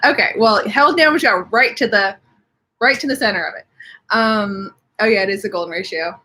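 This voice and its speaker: A young woman chats casually on a live stream from home, answering her viewers' comments one after another. Her voice has a soft husky edge, and she sounds a little sniffly from allergies. Her pitch sits in an ordinary middle range. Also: moderate pace. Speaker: livestream host.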